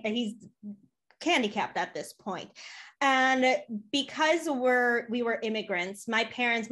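A woman's voice: medium pitch, a bit bright. An American woman in her thirties telling personal stories as a podcast guest, in a chatty, informal way. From United States, born in Poland, grew up in Chicago. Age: 30s. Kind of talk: casual personal storytelling.

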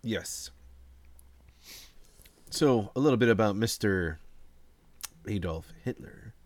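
Deep-voiced man cohosting a casual podcast. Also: lively intonation, takes it slowly, darkish tone, dry recording. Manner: laid-back, jokey, laughs often. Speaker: podcast co-host. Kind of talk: casual chat.

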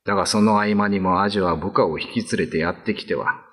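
A man's voice: low-pitched, fast talker, a bit bright, husky. A man narrating a scripted classic ghost story as a radio drama narrator.

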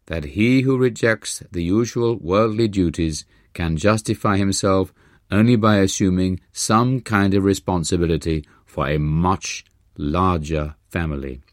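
A male narrator voices a stern, wise guru from an audiobook memoir. He speaks low and deliberately, gravely admonishing his disciple in a close, dry recording. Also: dry recording. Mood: probing, deliberate.

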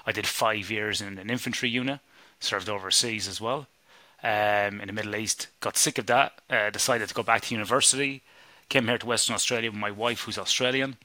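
A man's voice: lowish voice, fairly even intonation, a little fast, bright, forceful tone, no room sound. A middle-aged Irish man, a sleep researcher, chatting casually as a podcast guest, swearing and laughing easily. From Ireland.

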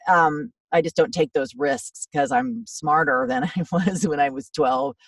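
A woman in her fifties with a low, raspy voice, chatting in a rambling, self-deprecating way as a voiceover actor guest in a podcast interview. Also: big pitch swings, a little slow, no echo, recorded from a distance. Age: 50s.

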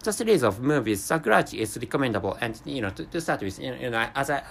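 A man whose first language is Japanese, hosting a solo episode in English, talking in a halting way with many restarts and stumbles. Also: mid-range voice, big pitch swings, creaky, a bit bright, a little hiss or hum. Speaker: podcast host. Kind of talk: casual monologue.

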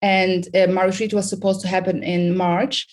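A woman's voice: lowish voice, flat delivery, normal speed, a little mellow. A woman from Sudan, a confidence coach, talking as a guest on a podcast in an upbeat, encouraging way and laughing often. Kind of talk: casual interview chat.

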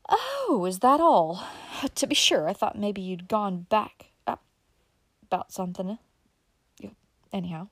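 A woman reading an audiobook voices a fat, folksy old country man who talks in rural dialect, loud and excitable. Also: medium pitch, unhurried, pauses often, no room sound. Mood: astonished.

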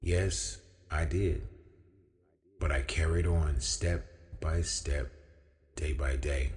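Very deep, slow-spoken man in long reverb. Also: steady tone, darkish tone. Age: young adult. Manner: deliberate, measured phrasing with emphatic pauses. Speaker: featured storyteller. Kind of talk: inspirational spoken-word monologue.

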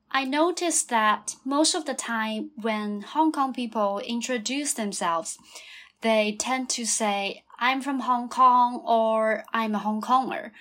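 High-voiced woman hosting a podcast. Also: a bit bright. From China. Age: late 20s. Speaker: podcast host. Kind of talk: podcast interview, prepared questions.

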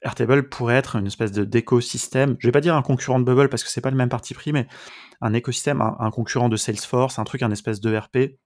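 A man's voice: medium pitch, fairly even intonation, fast, a little mellow. A man hosting a podcast, chatting casually as he interviews his guest.

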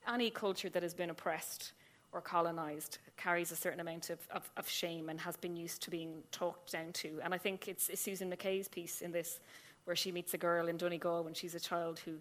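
A middle-aged Irish woman speaking on a live panel, animated and often restarting her sentences. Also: on the low side, moderate pace. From Ireland. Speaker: essayist on a panel. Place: small museum event room.

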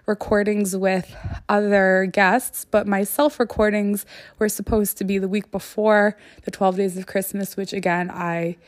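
A woman's voice: mid-range voice, level tone, unhurried, no room sound. Woman podcaster, voice recovering from illness, dry sound. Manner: conversational, frequent "like" and "you know". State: voice not fully back after illness. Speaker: podcast host. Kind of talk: casual solo podcast talk.

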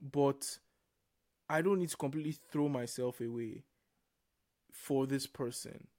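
A man's voice: medium pitch, a little slow, a little mellow, no room sound. Young Nigerian man, dry close sound. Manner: reflective, repeats and restarts words. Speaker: podcast co-host. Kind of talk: casual faith-based podcast chat.

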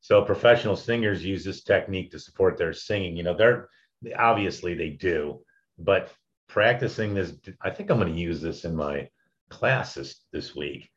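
A man with a deep, loud voice, talking casually as he teaches public speaking tips and often chuckles. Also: normal speed, dull audio.

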